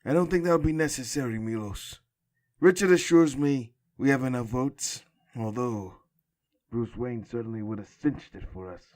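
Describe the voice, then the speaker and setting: A man's voice: medium pitch, moderate pace, darkish tone, close to an everyday voice. A man voicing an oily, smug crime lord who is suave and pointed in a comic book drama. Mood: confident.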